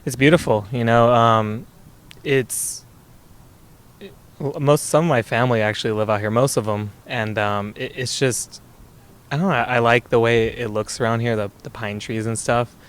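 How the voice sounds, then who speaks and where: Smooth-voiced man, rambling answer. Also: mid-range voice, fairly even intonation, moderate pace. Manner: rambling, repeating himself. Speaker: student interviewee. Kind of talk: casual street interview.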